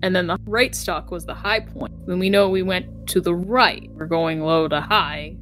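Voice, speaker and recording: A woman's voice: on the low side, normal speed, a bit bright, soft background music, poor audio. A woman playing a tabletop game, chatting casually and explaining her ideas as she thinks aloud. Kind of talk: casual group game chat.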